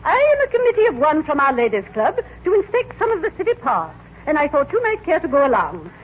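Haughty matron: very high, genteel, exclamatory, flustered; vintage radio comedy, faintly noisy recording. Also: female voice, huge pitch swings, a little fast, muffled recording. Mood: indignant. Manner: affected, genteel, gushing, exclamatory. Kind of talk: 1940s radio comedy acting.

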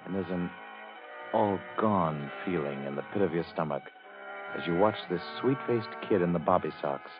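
A low male voice narrates gravely over prominent music. He is a dramatic crime-story narrator played by an actor in vintage radio style, on a dull old recording. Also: normal speed, natural voice. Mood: grave, ominous.

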